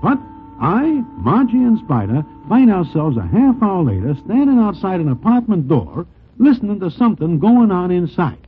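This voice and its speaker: An actor playing a wry New gangland narrator with formal slang, in 1940s radio acting style. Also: male voice, high voice, big pitch swings, moderate pace. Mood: dry narration. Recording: tinny 1940s radio recording, music bed.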